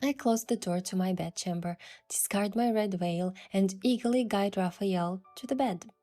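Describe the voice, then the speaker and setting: A woman's voice: mid-range voice, moderate pace, mellow. A woman reading scripted first-person romance narration and voicing the heroine. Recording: music behind the voice.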